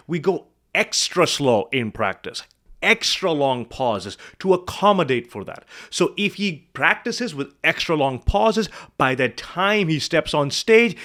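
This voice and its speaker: Speaking coach guy breaking down a client clip, lively up and down voice, keeps asking you questions. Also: medium pitch. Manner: animated, asks the viewer rhetorical questions. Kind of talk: coaching commentary on a video.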